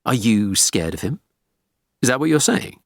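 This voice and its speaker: A man's voice: mid-range voice, sing-song, normal speed, gravelly, no room sound, studio quality, voice pushed up. A man playing a male detective superintendent in an audiobook, firm, probing and authoritative. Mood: stern.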